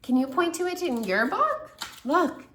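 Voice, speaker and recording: A woman's voice: very high-pitched, a little slow, slight background noise. A woman in her thirties reading a picture book aloud to toddlers, stopping to ask them questions. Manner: warm, animated, asks children questions. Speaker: children's story reader and teacher. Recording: echoey room.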